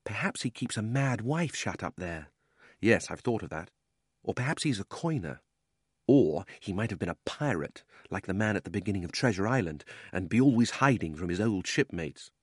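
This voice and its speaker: A man acts out a young country boy in a storybook, miserable but defiant. He speaks emphatically, all wound up, with lively pitch. The sound is dry and close. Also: moderate pace, breathy edge, no echo. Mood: brooding, mysterious. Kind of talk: storybook character reading.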